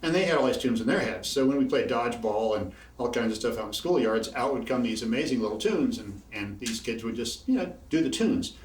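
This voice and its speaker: A man's voice: mid-range voice, expressive, brisk, few pauses. A man in his sixties from Indiana telling rambling, chatty stories between tunes at a house concert. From Bloomington, Indiana. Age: 60s. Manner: rambling, chatty, chuckling asides. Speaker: banjo player telling stories. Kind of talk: casual storytelling at a house concert. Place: living room at a house concert. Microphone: far camera in the room. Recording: roomy, distant, faint room noise.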